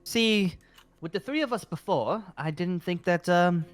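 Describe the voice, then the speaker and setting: A man playing a halting, animated guild leader. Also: sits high. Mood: earnest, hopeful. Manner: hesitant, rambling, halting. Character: eager, apologetic fantasy guild leader welcoming recruits. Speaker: roleplay performer. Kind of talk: improvised Minecraft roleplay dialogue. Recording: music under the voice.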